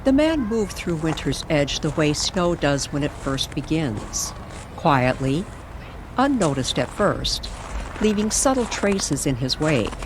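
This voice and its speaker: A woman reading scripted dramatic story narration in a measured, deliberate way. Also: low voice, very animated, background noise. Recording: music bed under the voice. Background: music.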